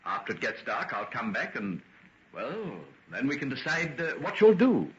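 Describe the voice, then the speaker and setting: An actor playing a genteel, courteous doctor with a soothing, guarded manner in a vintage radio drama. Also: male voice, medium pitch, big pitch swings. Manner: polite, measured, gently reassuring. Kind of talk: golden age radio drama acting. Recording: dull, noisy vintage radio recording.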